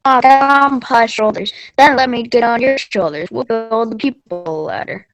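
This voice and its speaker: A woman's voice: a little high, normal speed, no room sound, close to an everyday voice. A woman playing a folksy, bold frontier hunter in a readers theater piece for children, with a declamatory delivery. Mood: earnest, rallying.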